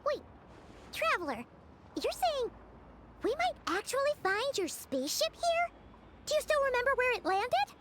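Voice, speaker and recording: A woman voicing a small, excitable, childlike fairy guide in a video game, chirpy, boastful and exclamatory. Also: normal speed, long pauses, very noisy. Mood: puzzled, excited. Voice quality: squeaky, childlike. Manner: refers to self in third person, exclamatory, chirpy. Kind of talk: video game character dialogue.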